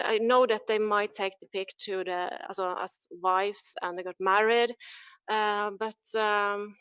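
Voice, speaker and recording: A woman's voice: medium pitch, a bit bright, clear, resonant tone, no echo, lo-fi sound. A woman on museum staff answering viewer questions in a friendly, hesitant way with many fillers. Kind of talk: casual live Q&A answers.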